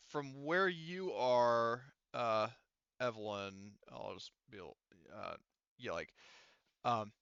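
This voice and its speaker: Bright, clear-voiced man running a tabletop game. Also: mid-range voice, no room sound, muffled recording. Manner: halting, hesitant phrasing. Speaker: game master. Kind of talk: casual tabletop game narration.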